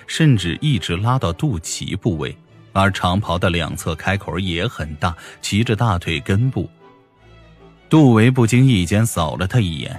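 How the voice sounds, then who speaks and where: A man narrating a fantasy novel as a scripted audiobook. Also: low voice. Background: music behind the voice.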